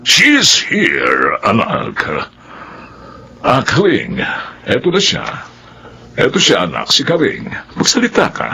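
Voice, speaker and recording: A man's voice: medium pitch, huge pitch swings, takes it slowly, creaky, pauses often, pushed and bright, a little hiss or hum, heavily acted. A man acting an affable middle-aged Filipino father of a well-off household in a radio drama. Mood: reassuring.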